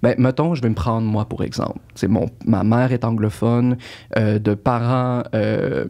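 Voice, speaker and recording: A man's voice: mid-range voice, fairly even intonation, a little slow, creaky, dark tone. A man with a Québécois accent, hosting a casual podcast interview, reflective and earnest as he speaks personally. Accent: Québécois French. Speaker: podcast host.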